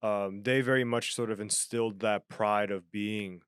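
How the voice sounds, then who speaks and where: A man's voice: fairly low pitch, moderate pace, clean tone, dry recording, clean studio sound. A young man from Japan co-hosting a podcast, talking earnestly in a casual chat with frequent restarts. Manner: earnest, animated, many restarts. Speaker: podcast co-host.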